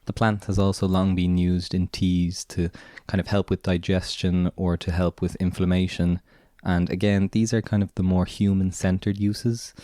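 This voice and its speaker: A man with an Irish accent hosting a podcast, raspy and hoarse from a cold, talking in a relaxed, meandering way with lots of filler words. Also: deep voice, normal speed, dry recording, clean studio sound. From Ireland. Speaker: podcast host and gardener. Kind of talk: casual spoken monologue.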